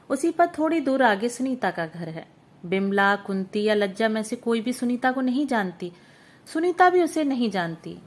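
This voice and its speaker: Woman reading a literary story aloud. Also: high-pitched. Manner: steady, measured storytelling. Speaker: audiobook narrator.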